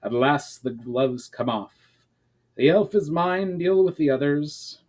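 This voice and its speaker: A man's voice: lively intonation, slow, a little mellow. Fantasy audiobook villain: male reader as a seasoned, self-assured commander, growling and menacing, issuing clipped orders. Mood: eager, commanding. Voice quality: deep, growling. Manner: confident, commanding, clipped threats. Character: confident, menacing middle-aged military commander, swordsman villain.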